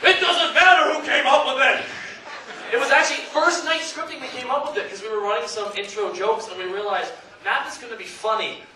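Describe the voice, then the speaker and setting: A man's voice: very high-pitched, a little fast. A man on a convention panel telling stories in a casual, joking, rambling way. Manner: animated, joking, rambling storytelling. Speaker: panelist and abridged-series voice actor. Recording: echoey hall, distant mic, noisy crowd. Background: audience noise.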